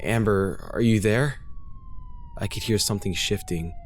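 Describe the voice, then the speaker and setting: A man's voice: lowish voice, huge pitch swings, measured pace, slight background noise. A man reading a first-person horror story as a dramatic scripted narration, grave and intense.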